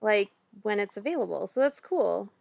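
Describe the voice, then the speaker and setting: A woman's voice: mid-range voice, animated, normal speed, gravelly, a little mellow, dry recording. A woman in her thirties with a Minnesota accent, chatting casually at home while playing an online board game and thinking aloud through her moves. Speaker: streamer co-host. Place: home room, indoors.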